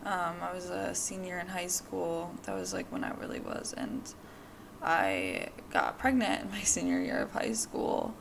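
Podcast host, female, 20s, gravelly, candid and rambling, faint hiss behind. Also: on the low side, very animated, a little slow. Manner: candid, rambling, with many fillers. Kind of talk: personal monologue.

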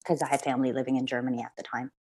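A woman's voice: very deep voice, little pitch movement, racing, warm tone, husky, dry recording, studio quality. A young woman from Canada and the Philippines, a law student guest, telling her story reflectively and laughing now and then. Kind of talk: podcast interview.

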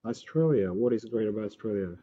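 A man from Sudan who works as a school learning support officer, answering interview questions in a measured, slightly halting way. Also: lively intonation, dark tone, husky. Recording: dull low-fidelity school recording.

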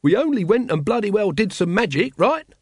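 A man voicing a dim, eager cult follower in an audiobook, excitable and colloquial. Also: high voice, a little fast, husky, no echo, clean studio sound, pitched above the performer's own voice, exaggerated voice. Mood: impressed, excited. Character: dim, eager, loyal male cult brother, easily impressed. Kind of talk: audiobook character acting.

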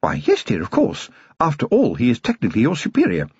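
A man's voice: mid-range voice, huge pitch swings, brisk, a little mellow, slightly rough, no room sound, dull audio, exaggerated voice. A man voicing a genteel noblewoman with a refined, gushing upper-class lilt. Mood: fond. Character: genteel noblewoman, warm and affectionate.

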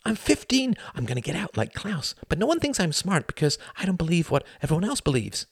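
A man voicing a manic, rebellious fifteen-year-old boy in an audiobook, with an excited, urgent, hissing delivery. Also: fairly low pitch, fast, slightly rough, no echo, clean studio sound, pitched above the performer's own voice. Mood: defiant, urgent.

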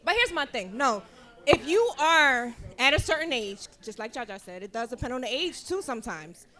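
Blunt Philly woman, high voice. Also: very animated, moderate pace, pushed and bright. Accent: Philadelphia. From Philadelphia, USA. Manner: blunt, profane, laughing, preachy. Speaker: podcast co-host. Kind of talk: live podcast talk before an audience.

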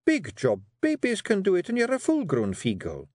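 A man's voice: high voice, huge pitch swings, darkish tone, no room sound, voice pushed up, exaggerated voice. Man doing a sly pixie wife. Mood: coaxing. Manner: coaxing, brisk, sly. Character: sharp clever female pixie clan matriarch. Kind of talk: audiobook character voice.

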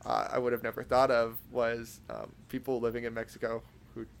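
A young man hosting a casual podcast interview, talking in a halting way with lots of fillers and restarts. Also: mid-range voice, completely flat delivery, vocal fry, clear, resonant tone. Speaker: podcast host.

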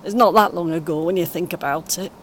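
A woman playing a kindly, encouraging British grandmother in her eighties in an audio play, speaking gently and reassuringly. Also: fairly quick, natural voice. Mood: reminiscing. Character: kindly, encouraging 81-year-old grandmother on a coach. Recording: clear vehicle rumble noise. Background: vehicle ambience.

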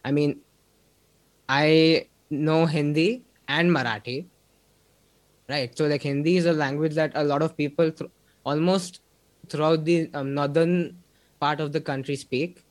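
Young man, Indian English accent, guest on a video-call interview; easygoing, filler-heavy, slightly high voice. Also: fairly even intonation, measured pace, pauses often, no echo. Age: young adult. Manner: rambling, many fillers like "like" and "um". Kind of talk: casual interview chat.

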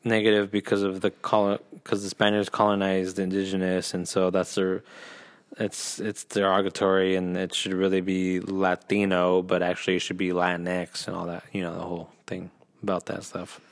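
An American man co-hosting a podcast, chatting informally with quick interjections and a self-deprecating manner. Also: deep voice, completely flat delivery. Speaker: podcast co-host. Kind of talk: casual chat.

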